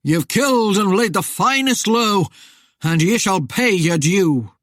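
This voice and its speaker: A woman acts out a vengeful male phantom rider from a storybook. The voice is eerie, forceful and drawn out, droning slow commands in archaic speech. It sounds loud and menacing. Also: medium pitch, expressive, no echo, clean studio sound, pitched above the performer's own voice. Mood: grim, vengeful.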